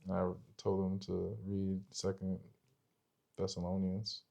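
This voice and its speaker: A young man with a deep, mellow voice that stays fairly flat and dips into fry. He co-hosts a casual podcast on relationships. He lays points out step by step and keeps checking that the listener follows. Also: slow. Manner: conversational, explains step by step, seeks agreement. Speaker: podcast co-host.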